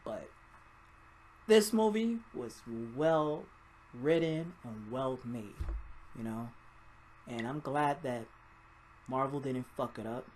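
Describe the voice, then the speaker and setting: A man's voice: medium pitch, animated, slow, long pauses, a little mellow. Casual rambling man, noisy recording. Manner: rambling, informal, mildly profane. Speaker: movie reviewer. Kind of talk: casual spoiler-filled film review vlog.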